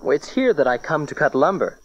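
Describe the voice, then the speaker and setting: A man playing a gentle, devout carpenter and protective husband in an audio Bible drama, reassuring with a touch of humor. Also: fairly high pitch, big pitch swings, fairly quick, husky, muffled recording. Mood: light, amused.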